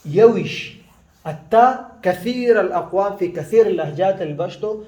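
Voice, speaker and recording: A man with a high voice teaches a casual lesson, stopping often with fillers and restarts and going back over each word slowly. His first language is Pashto. The room around him is echoey. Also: mellow. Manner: halting, with many fillers and restarts, repeating words slowly.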